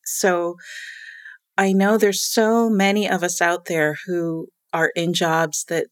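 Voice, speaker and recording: A middle-aged woman telling a personal story in a casual chat, animated and quick to laugh, with a loud voice. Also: lowish voice, no room sound, clean studio sound. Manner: calls herself loud-voiced.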